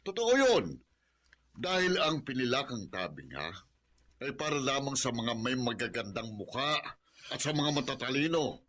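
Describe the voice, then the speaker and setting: A man's voice: mid-range voice, big pitch swings, moderate pace, a bit bright. A man with a Filipino accent playing a bitter, unforgiving middle-aged father in a radio drama, harsh and scornful.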